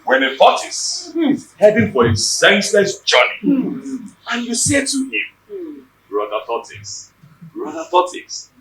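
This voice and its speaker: An actor with a Nigerian accent playing a proud, wrathful warlord and tyrant in an echoey stage play with an audience. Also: male voice, high voice, wildly swooping pitch, takes it slowly, vocal fry, a crowd in the background, heavily acted. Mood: scornful. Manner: thunderous, emphatic, repeating phrases. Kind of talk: declamatory stage acting.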